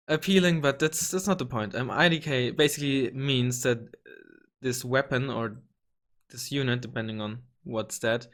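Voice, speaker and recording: A slightly hoarse young man hosting a casual gaming tutorial, rambling with many ums and restarts and the odd swear word. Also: medium pitch, a bit bright. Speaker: gaming channel host. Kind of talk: casual screen-recorded tutorial.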